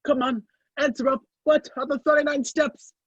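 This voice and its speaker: A man playing a determined, wrongly accused gentleman on the run in an amateur radio play, over a video call. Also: very high voice, level tone, normal speed, no room sound. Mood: urgent. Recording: dull, lo-fi video-call audio.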